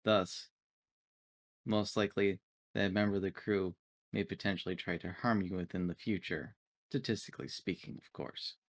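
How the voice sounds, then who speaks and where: A man's voice: low voice, steady tone, normal speed, no echo, clean studio sound, pitched below the performer's own voice. A man playing a formal, literal-minded android crew member in a tabletop game, speaking in precise, clinical terms. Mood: clinical, explanatory.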